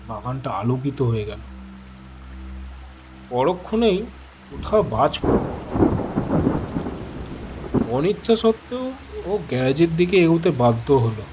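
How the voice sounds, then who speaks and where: A man's voice: a little high, a little mellow. A man narrating a horror story in a suspenseful, theatrical, dramatic manner.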